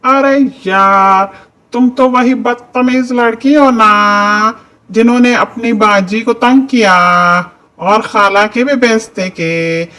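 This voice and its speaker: A stern jungle king from a cartoon story, voiced by a woman. He scolds with open scorn, drawing his words out very slowly in a raised pitch. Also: a bit bright. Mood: scornful. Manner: scolding, dismissive.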